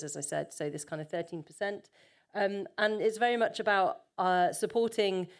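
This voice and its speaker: A woman's voice: fairly low pitch, clean tone. A woman giving a conference panel talk about her work and farming business. She speaks conversationally, with many filler ums and frequent self-corrections.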